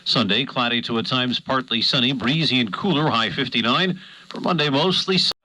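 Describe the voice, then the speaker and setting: A man reading a radio weather forecast in a brisk, scripted announcer delivery. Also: medium pitch, pushed and bright, no echo, poor audio.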